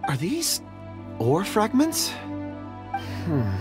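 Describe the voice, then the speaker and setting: Earnest young game character, gentle, polite, dreamy, lilting delivery, music behind. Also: male voice, high-pitched, wildly swooping pitch, very slow, full character voice. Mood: pensive. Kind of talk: video game character acting. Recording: background music under the voice.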